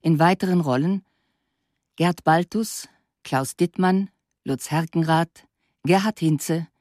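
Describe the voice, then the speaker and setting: A female radio announcer with a low voice reads credits slowly and formally. Each phrase is set apart neutrally and deliberately, in a dry studio sound. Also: a little mellow, no room sound.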